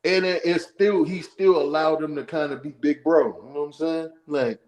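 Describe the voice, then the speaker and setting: A man in his forties, co-hosting a casual boxing chat, talking in a profane, slangy, animated way and acting out what others might say. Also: fairly high pitch, moderate pace. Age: 40s. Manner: acts out quoted remarks. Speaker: podcast co-host.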